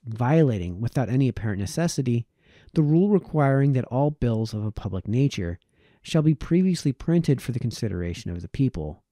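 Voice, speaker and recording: A man reading a formal historical text aloud as a narrator, in measured, formal phrasing. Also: medium pitch, mellow, no room sound, clean studio sound. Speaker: reader, narrator.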